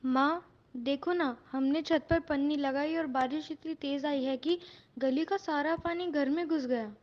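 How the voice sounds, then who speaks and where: Casting: woman playing earnest poor young girl in a cartoon, high voice, helpful manner, dull lo-fi recording. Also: unhurried. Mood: distressed.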